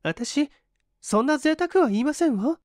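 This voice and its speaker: A woman's voice: high voice, animated, a little slow, a little mellow, no echo, clean studio sound, voice pushed up. A woman voicing a polite, principled, hungry young woman with emphatic, old-fashioned feminine speech in a dramatised story reading. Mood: firm, protesting.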